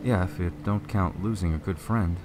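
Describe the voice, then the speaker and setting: Man acting low-voiced, defensive suspect. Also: normal speed, background noise, close to an everyday voice. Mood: guarded. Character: defensive male suspect, plainspoken and uneasy. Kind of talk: radio drama dialogue.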